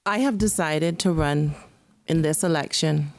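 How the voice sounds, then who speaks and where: An earnest Caymanian woman with a lowish voice, making a campaign speech and pressing her points with emphasis. The sound is dry and close, with almost no room echo. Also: unhurried, gravelly, darkish tone. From Cayman Islands. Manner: earnest, emphatic, repeats key phrases. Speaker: election candidate.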